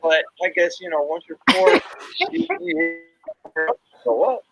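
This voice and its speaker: A man interviewed in a news clip, speaking in a wry, resigned way with a gravelly voice. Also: high-pitched, big pitch swings, a little slow, a bit bright, a little hiss or hum, low-quality recording.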